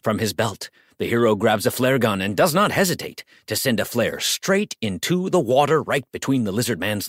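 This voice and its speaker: A man's voice: medium pitch, no room sound, studio quality. An American man narrating an audiobook in a theatrical, mock-grave, tongue-in-cheek way with emphatic delivery.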